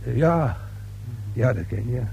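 A gravelly, stammering, defensive caretaker. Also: male voice, mid-range voice, lively intonation, measured pace, a little mellow, low-quality recording. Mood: wary. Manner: stammering, hesitant, repeats words. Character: flustered, defensive male building caretaker under questioning. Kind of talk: radio play acting, colloquial speech. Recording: old radio play recording with faint hum.

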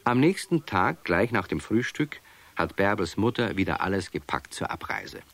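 A man tells a children's story as its narrator. His voice is low and dry, with lively, expressive rises and falls that carry the scripted tale along. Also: moderate pace, gravelly, dry recording.

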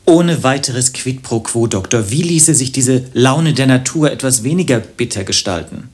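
An actor playing a lovelorn, self-pitying hypochondriac in a German radio play, with ornate, wry phrasing. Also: male voice, mid-range voice, animated, normal speed, a little mellow, slightly rough. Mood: wistful, wry. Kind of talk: German radio play acting, literary monologue and dialogue.

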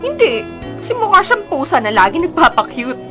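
A woman playing a feisty, sarcastic college girl in a radio drama, dry and teasing, quick to exclaim. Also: high voice, big pitch swings. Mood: dismissive. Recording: music under the dialogue.

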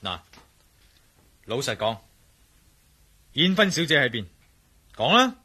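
An actor playing a bold, wary adventurer hero in a radio drama, speaking Cantonese with a Hong Kong accent in a wry, commanding manner. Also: male voice, medium pitch, big pitch swings, slow, bright, forceful tone, slightly rough, heavily acted. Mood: tense, threatening. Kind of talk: radio drama acting with spoken inner monologue.

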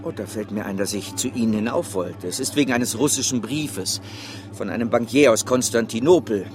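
An actor playing a confident, scheming financial speculator in a radio drama, talking briskly and conversationally. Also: male voice, fairly low pitch, music in the background, close to an everyday voice. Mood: brisk, businesslike. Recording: clear street and vehicle noise behind. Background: outdoor street ambience with vehicles.

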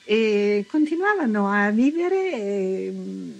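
A woman's voice: medium pitch, sing-song, takes it slowly, gravelly, dull audio. An older woman giving informal testimony in a hesitant, colloquial way, often repeating herself. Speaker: interviewee recalling her past.

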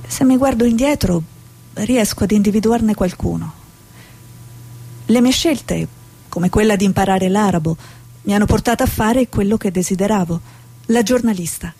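A woman's voice: medium pitch, moderate pace, long pauses, dark tone, slightly rough. An actress playing an idealistic, earnest Italian woman journalist in a stage drama. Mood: reflective, earnest.

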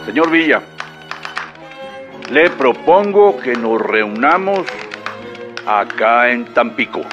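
Radio drama: formal political chief, slow and measured, muffled sound with strings behind. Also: male voice, mid-range voice, animated, over music. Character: formal revolutionary leader dictating an invitation. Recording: dull, narrow-band sound.

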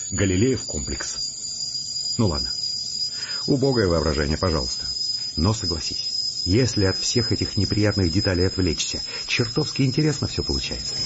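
Deep-voiced, agitated sardonic scientist. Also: male voice, muffled recording, heavy background noise. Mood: sardonic, excited. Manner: conversational, sardonic, impatient. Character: agitated sardonic male scientist, arguing and brooding. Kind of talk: Russian radio drama acting and first-person narration.

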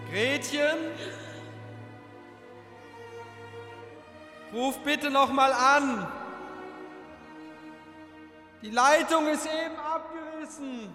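Lovelorn man for radio drama: very high, earnest, pleading, in a long reverb. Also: unhurried, bright, forceful tone, faint music behind, heavily acted. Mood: wistful. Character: emotional lovelorn man calling out to his beloved. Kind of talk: radio play acting.

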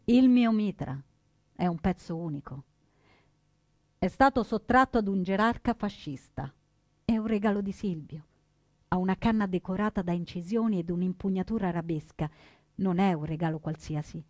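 An actress playing a proud young woman partisan in her twenties, telling her story in measured, earnest theatrical narration. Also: mid-range voice, darkish tone, no room sound, lightly acted. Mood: proud, resolute. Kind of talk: scripted theatrical first-person narration. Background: plucked guitar music.

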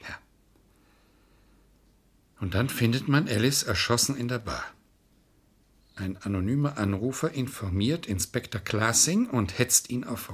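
Husky, low-voiced cynical lawyer. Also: male voice. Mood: coolly expository. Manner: sardonic, argumentative, matter-of-fact. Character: cynical, sharp-tongued male defence lawyer. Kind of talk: German radio crime play acting.

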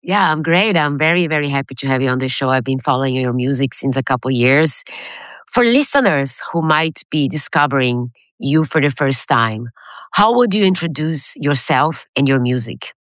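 A woman's voice: very deep voice, expressive, moderate pace, no echo. A woman hosting a music radio show, interviewing a guest in a casual, conversational style.

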